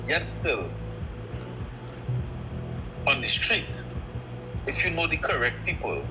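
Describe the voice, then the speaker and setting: A man's voice: on the high side, big pitch swings, slow, music in the background. A man who calls in to a live chat and presses the others with questions. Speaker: caller. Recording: noisy live-stream audio.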